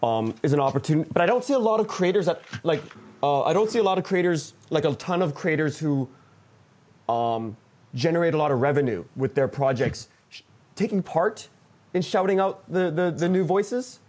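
A man speaking as a podcast creator in an informal roundtable, halting and often restarting his sentences. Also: on the high side, animated, darkish tone. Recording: noisy voice track with clicks.

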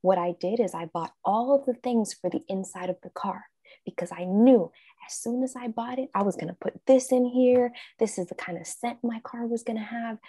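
A woman's voice: on the high side, normal speed, breathy edge, no echo. A woman life coach, a guest in an interview, talking casually and acting out her own thoughts in quotes. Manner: animated, acts out her own quoted thoughts, frequent laughs.

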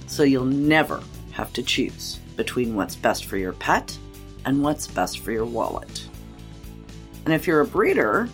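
A woman with a low, deep voice hosts a podcast chat. She talks with effusive emphasis and quick laughs, over faint music. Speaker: podcast host.

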